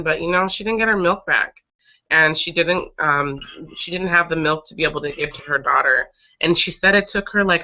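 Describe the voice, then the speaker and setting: Casting: woman online host, bright but slightly raspy with a cold, halting casual delivery, dull lo-fi call audio. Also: deep voice. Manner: halting, often pausing mid-sentence to regroup. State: getting over a cold. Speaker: host of an online breastfeeding advocacy show. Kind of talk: casual live webcast chat, recounting stories. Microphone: online video call.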